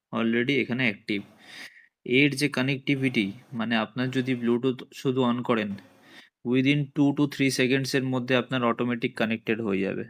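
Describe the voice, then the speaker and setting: A man's voice: medium pitch, fairly bright tone. A man giving a casual hands-on tutorial, explaining step by step and speaking directly to viewers. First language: Bengali. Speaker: motovlogger and product reviewer.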